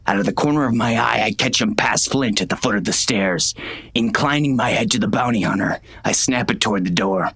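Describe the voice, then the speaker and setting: An actor playing a hard, commanding western gang boss, stern and clipped, in an audiobook. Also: male voice, fairly low pitch, brisk, fairly bright tone, slightly rough. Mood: cold, menacing. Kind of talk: first-person western audiobook narration and dialogue.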